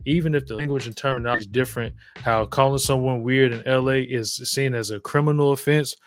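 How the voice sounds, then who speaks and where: A man's voice: mid-range voice, steady tone, fast talker, crowd noise. Southern man talking thoughtfully. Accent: Southern American. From Southern United States. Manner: reflective, emphatic, storytelling with frequent restarts. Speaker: podcast guest. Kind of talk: casual conversation.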